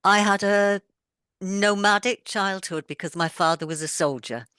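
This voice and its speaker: A woman's voice: mid-range voice, unhurried, fairly bright tone, clean tone, dry recording, studio quality. A middle-aged woman author with a mixed British accent, a touch of Welsh and Yorkshire, talking thoughtfully in an interview. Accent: mixed British, with a little Welsh and Yorkshire. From born in England, raised moving around the world. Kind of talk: casual conversation.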